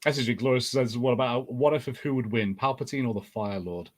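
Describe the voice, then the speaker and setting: A man speaking as a podcast panelist in an average male pitch. His delivery is informal and conversational, often musing tentatively as he works out an opinion. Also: fairly quick. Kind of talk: casual fan panel chat.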